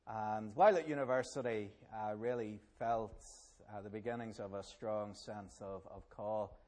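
A middle-aged Northern Irish man, a minister, telling his life story at a public event in a halting way that often repeats words. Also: fairly low pitch, fairly even intonation, a little mellow. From Northern Ireland. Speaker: Presbyterian minister. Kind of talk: personal testimony at a public event.

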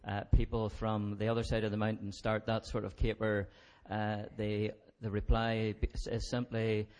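A man interviews a guest in front of an audience in a fairly low voice. He hesitates often and fills his pauses with ums and uhs. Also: monotone, a little mellow. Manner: hesitant, many fillers. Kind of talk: onstage interview.

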